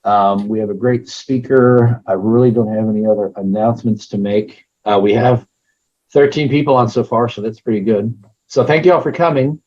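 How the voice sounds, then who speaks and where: A hoarse man hosting an online meeting, chatting in a rambling way with lots of fillers. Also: mid-range voice, normal speed, a little mellow. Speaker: meeting host. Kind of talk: casual meeting opener. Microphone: computer mic on a video call. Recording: dull, muffled video call audio.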